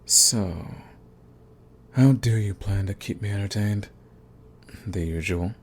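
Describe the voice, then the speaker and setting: A man's voice: lowish voice, slow pace, a little hiss or hum. A man playing a smug, flirtatious villain who is fond of the enemy, in an intimate ASMR romance roleplay with taunting, drawling menace. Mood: commanding, teasing. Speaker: audio roleplay voice actor.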